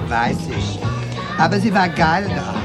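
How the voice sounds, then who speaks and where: A man's voice: on the high side, sing-song, a little slow. Sassy, proud street queen, music behind. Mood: frank, wary. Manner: sassy, peppers speech with Spanish endearments. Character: proud, touchy transgender woman street hustler with Spanish flourishes. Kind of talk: radio drama acting. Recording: loud music behind the voices. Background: loud music.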